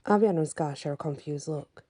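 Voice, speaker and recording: A woman narrating a fantasy story in a soft bedtime ASMR reading style. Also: low-pitched, brisk, dry recording, studio quality. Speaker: storyteller, audiobook reader.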